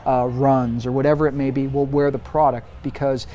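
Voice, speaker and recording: A man in his forties giving casual interview answers, emphatic and animated, often restarting his sentences. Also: mid-range voice, a little fast, highs cut off. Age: 40s. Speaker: interview guest, brand executive.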